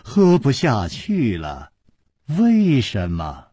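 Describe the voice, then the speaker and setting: A man voicing a jovial, excitable male host who gushes and exclaims. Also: mid-range voice, drawn-out words, husky, no echo, studio quality, full character voice. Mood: gushing. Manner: exclaiming, gushing.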